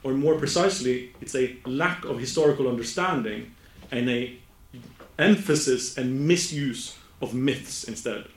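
Norwegian-accented man lecturing in an echoey room. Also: medium pitch. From Norway. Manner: slow, careful delivery with frequent self-corrections. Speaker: academic speaker. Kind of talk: conference presentation.

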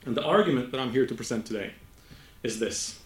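A Norwegian-accented man delivers an academic talk at a middle pitch, measured and deliberate, sometimes stopping to fix a word. His voice rings around an echoey room. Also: animated. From Norway. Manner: slow, careful delivery with frequent self-corrections. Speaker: academic speaker. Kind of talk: conference presentation.

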